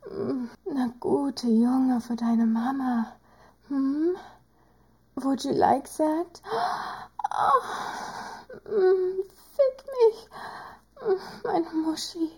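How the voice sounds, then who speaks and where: Woman doing a sultry teasing doctor, audio roleplay, mellow soft voice, super slow whispery talk. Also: sits high, full character voice.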